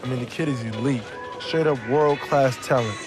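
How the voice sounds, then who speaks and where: A man's voice: mid-range voice, moderate pace, music in the background. An American man giving casual, enthusiastic interview commentary about sport.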